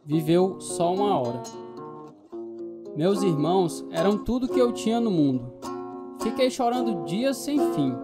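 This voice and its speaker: A man's voice: high-pitched, expressive, measured pace, pauses often. Troubled man narrating over guitar music. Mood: somber, reflective. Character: fearful, troubled man recalling his impulsive youth. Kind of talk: dramatized first-person story narration. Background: plucked guitar music.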